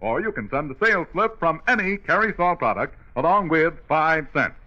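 American guy doing old-time radio ad, super animated and bright, muffled recording. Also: on the high side, moderate pace. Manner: enthusiastic salesmanship aimed at children. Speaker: commercial announcer. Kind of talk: 1940s radio ad pitch.

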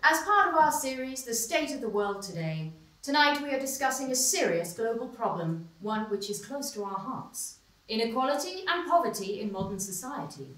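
A woman's voice: fairly high pitch, sing-song, moderate pace, a bit bright. An actress playing a polished female TV news presenter in a stage play, heard from a far mic in a small stone-walled theater. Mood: bright. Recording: roomy, distant stage sound.

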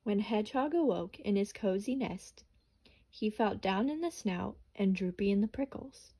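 A woman's voice: mid-range voice, lively intonation, dark tone, slightly rough. A young woman reading a children's picture book aloud and explaining vocabulary words. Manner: slow and explanatory. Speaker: student teacher.

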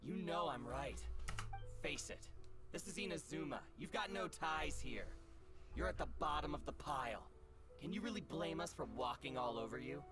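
Game villain: taunting bandit swordsman acted by a man, fairly high male voice, sneering, over clear music. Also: lively intonation, normal speed, close to an everyday voice. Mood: mocking. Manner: mocking, sneering. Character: taunting, cocky thuggish ronin bandit. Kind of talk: video game voice acting.

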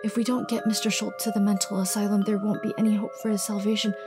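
A woman voicing an anxious, cunning young girl in an animated drama, earnest and guarded, sometimes breathy. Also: medium pitch, fast, close to an everyday voice. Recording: music under the dialogue.

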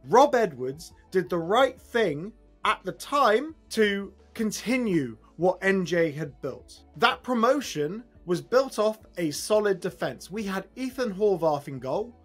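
Husky, high-pitched exasperated football fan. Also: male voice, sing-song, slow pace, a bit bright, soft background music. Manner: exasperated, emphatic, blunt. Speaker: football fan channel host. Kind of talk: post-match reaction commentary to camera. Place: small room at home, indoors.

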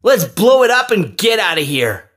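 A woman's voice: medium pitch, sing-song, moderate pace. An actress voicing a bold cartoon animatronic hero who declares her lines. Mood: heroic. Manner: heroic, declaring.

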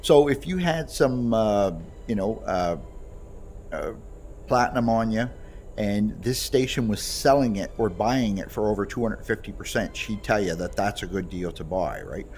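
A man giving a casual, halting, conversational gameplay demonstration. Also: on the low side, a little mellow, a little hiss or hum. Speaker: creator demonstrating his voice pack. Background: music behind the voice.

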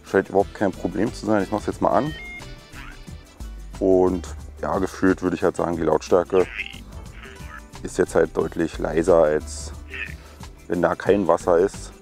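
A man in his thirties hosting a casual tech review, talking to viewers in a relaxed, chatty way. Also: low-pitched, a little slow, vocal fry, pauses often, faint music behind.

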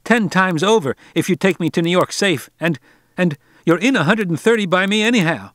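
A man voicing a proud, spoiled rich boy who talks in a high, whiny and indignant way. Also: lively intonation, a little fast, a bit bright, no room sound, clean studio sound.

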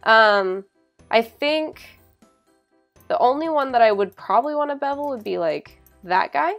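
A young adult woman narrating a software tutorial in a casual, informal way, often saying "like". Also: a little high, animated, measured pace, creaky, dull audio. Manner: informal, hedging with frequent "like". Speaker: tutorial presenter. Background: background music.

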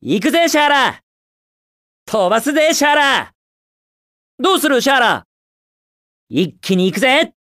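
A man's voice: very high-pitched, sing-song, moderate pace, long pauses, pushed and bright, dry recording, clean studio sound, full character voice. A man voicing a cocky, carefree young hedgehog hero in a video game, with breezy, confident, slangy delivery. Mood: excited, eager.

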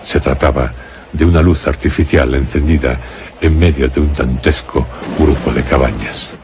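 A man narrating a radio horror drama as a grave storyteller, solemn and deliberate, with a Castilian accent. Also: a bass voice, fairly even intonation, darkish tone, slight background noise. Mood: grave suspense. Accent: Castilian Spanish. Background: music.

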